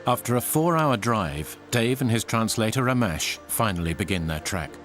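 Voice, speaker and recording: A man's voice: on the low side, animated, moderate pace, over music. A man reading scripted documentary narration.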